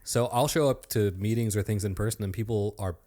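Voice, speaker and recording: A man in his thirties with a deep voice, joking around as a guest in a casual podcast chat. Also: a little fast, mellow. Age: 30s. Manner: joking, playful, swears casually.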